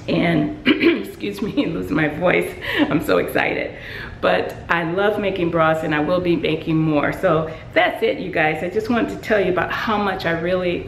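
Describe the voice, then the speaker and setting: A woman's voice: fairly low pitch, fairly bright tone, slight background noise. Chatty woman in an echoey room. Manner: chatty, many fillers and restarts. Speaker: sewing vlogger. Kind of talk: casual show-and-tell.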